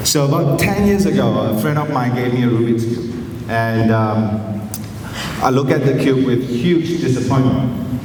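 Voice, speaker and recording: A male teacher with a Chinese accent giving an earnest, gently self-deprecating speech to students, with many pauses and fillers. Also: mid-range voice, animated. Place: large school assembly hall. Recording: echoey hall from far off with noise.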